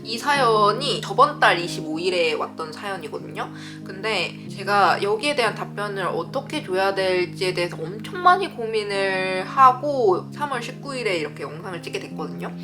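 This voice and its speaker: A woman's voice: mid-range voice, very animated, normal speed, hardly any pauses, music in the background. A woman in her thirties giving casual advice to viewers as a vlogger, speaking in a frank, emphatic way. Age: 30s. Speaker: vlogger answering viewer letters.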